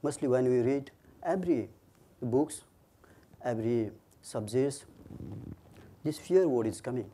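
A Nepali-accented man speaks to an audience about his book, laying out his points in short, earnest pieces. His voice is mellow and warm, with a faint hiss of noise in the recording behind it. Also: mid-range voice, a little slow, long pauses. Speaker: author giving a talk. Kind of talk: lecture.

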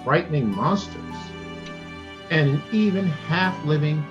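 Middle-aged male storytime host, expressive and deliberate reader, slightly dull lo-fi recording with music behind. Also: on the high side. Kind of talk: read-aloud storytime for children. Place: room at home, indoors.

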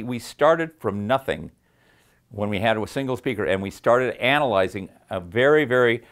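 An older man with a mid-pitched voice presents his own engineering work. He speaks off the cuff in dense technical terms, stressing and repeating his claims. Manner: emphatic, jargon-heavy, repeats himself for emphasis. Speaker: sound system designer and presenter. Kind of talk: unscripted technical explanation. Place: padded, treated listening room indoors.

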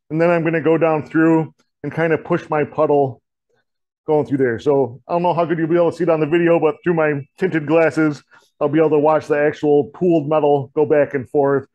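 A man in his forties, a shop teacher, talking through a torch welding demonstration in a relaxed, folksy way in an indoor metal shop. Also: a little high, dry recording, muffled recording. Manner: relaxed, folksy, many "kinda" and "you know" fillers. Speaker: diesel technology teacher.

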